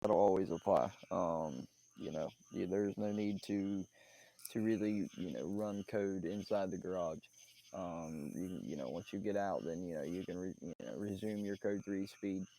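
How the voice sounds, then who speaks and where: Male lead, low mellow voice, relaxed supervisor running a meeting, loose and filler-heavy. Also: moderate pace, a little hiss or hum, poor audio. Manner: easygoing, many fillers. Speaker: roleplay police supervisor. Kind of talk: casual meeting briefing.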